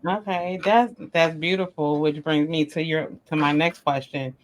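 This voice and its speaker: A woman's voice: low-pitched, steady tone, normal speed, a little hiss or hum. A woman hosting a podcast interview asks her questions in an enthusiastic, effusive way. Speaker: podcast host.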